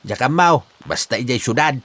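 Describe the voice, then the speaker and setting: A man with an Ilocano Filipino accent playing a gruff, exasperated elderly village father in a comedy drama. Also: mid-range voice, animated, normal speed, fairly bright tone, no room sound. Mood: exasperated, evasive. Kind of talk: amateur comedy drama acting.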